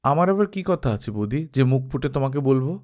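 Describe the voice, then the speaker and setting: A man's voice: medium pitch, expressive, fast talker, a little mellow, natural voice. A man voicing a naive, earnest young brother-in-law who questions in bewilderment, in a dramatised novel reading. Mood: hesitant, curious.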